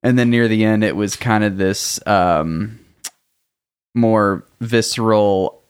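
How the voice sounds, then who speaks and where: A man's voice: fairly low pitch, steady tone, takes it slowly, clear, resonant tone, dry recording, studio quality. A young man co-hosting a podcast in casual, joking banter with a friend, often restarting his sentences. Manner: playful, jokey, with frequent restarts. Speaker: podcast co-host.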